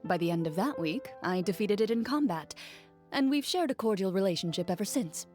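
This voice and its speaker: An actress voicing a composed young woman duelist in a video game, measured, matter-of-fact and polite. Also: medium pitch, animated, a little mellow, natural voice. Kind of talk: scripted game dialogue. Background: game music.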